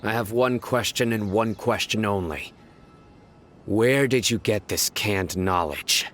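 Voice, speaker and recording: A man's voice: on the low side, slow, a bit bright, faint music behind. An actor playing a stern young male desert lawman in a game, clipped, cold and authoritative.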